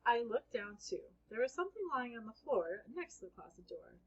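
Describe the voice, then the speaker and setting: A woman reading a children's book aloud as an expressive first-person storyteller. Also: on the high side, brisk, slightly rough, highs cut off.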